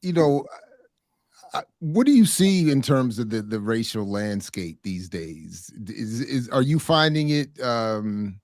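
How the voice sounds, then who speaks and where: A middle-aged man conducting a casual interview, feeling his way through long questions with stammers and searching pauses. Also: medium pitch, sing-song, dry recording, clean studio sound. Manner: hesitant, searching, stammers over phrasing. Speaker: interviewer, academic.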